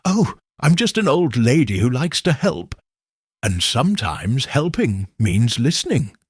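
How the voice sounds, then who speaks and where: A man voicing a shrewd, gentle elderly village lady sleuth in an audiobook, soft-spoken and composed. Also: medium pitch, sing-song, a little slow, breathy edge, dry recording, clean studio sound. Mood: gently probing.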